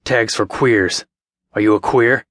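A sneering, blunt teenage bully, as a man reading the story voices him with a lowish voice. Each line sounds mocking and cold, recorded dry and close. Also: fairly even intonation, unhurried, fairly bright tone, no room sound.

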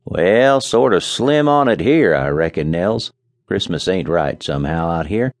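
A man's voice: low-pitched, animated, a little slow, dry recording, studio quality. A man doing a grumbling folksy farmer. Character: grumbling rural farmer, blunt and complaining.

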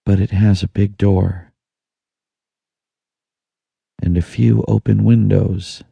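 A man narrating a guided meditation in a soothing, hushed manner with long pauses. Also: fairly low pitch, little pitch movement, creaky, husky, no room sound. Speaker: audiobook narrator.

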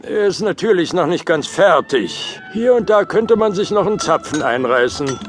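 A man's voice: high-pitched, animated, normal speed, faint music behind. A man playing a big, overprotective, fretful mammoth and expectant father in a children's audio play. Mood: stubborn, bossy. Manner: fretful, blustering.

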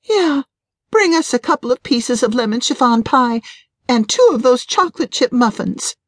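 A betrayed middle-aged wife in an audiobook drama, acted by a woman narrator, pitched well up and very animated. She is emotional and wounded, tearful and indignant, in a close, dry recording. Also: moderate pace, dry recording. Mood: flat, resigned.

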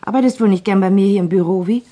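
An actress playing a brisk, teasing office secretary in a German crime radio play. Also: female voice, mid-range voice, dark tone, natural voice. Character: brisk, bossy but friendly female office secretary. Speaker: actor in a crime radio drama.

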